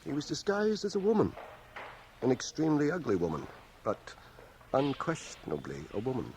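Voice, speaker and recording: A dry, expressive older schoolmaster. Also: male voice, mid-range voice, low-quality recording. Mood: wry. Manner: dry, understated, measured. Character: sixty-one-year-old reserved, dry-witted Canadian schoolmaster. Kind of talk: radio drama acting. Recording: faint hiss, home cassette radio transfer.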